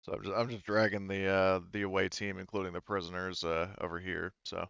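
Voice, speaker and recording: A man's voice: low-pitched, little pitch movement, a little fast, vocal fry, a bit bright, clear, resonant tone, no room sound, lo-fi sound. A man acting as game master in a casual tabletop roleplaying session, explaining and thinking aloud.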